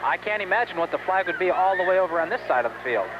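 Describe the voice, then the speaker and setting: Male American color commentator for football radio, quick talker with a high voice, dull and noisy vintage broadcast sound. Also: expressive. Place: outdoors at a stadium.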